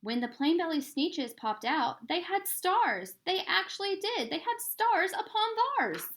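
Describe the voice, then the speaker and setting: A woman reading a rhyming children's book aloud in a theatrical, expressive way, stressing the rhythm and drawing out words. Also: very high voice, unhurried. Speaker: storybook reader.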